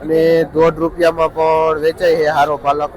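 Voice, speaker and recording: Outdoors amid clear crowd and traffic noise, a man reports as a market vlogger. His voice sits a little high and stays very even in tone. He speaks with a Saurashtra Gujarati accent, in a plain, repetitive price-reporting manner. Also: brisk, rough recording. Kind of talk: on-the-spot vlog commentary with brief questions to vendors. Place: busy outdoor vegetable market. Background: crowd chatter and traffic.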